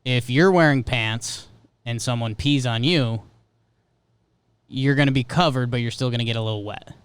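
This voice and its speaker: A man's voice: medium pitch, normal speed, smooth. A man co-hosting a podcast, chatting casually about sports and whatever comes up. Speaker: podcast co-host.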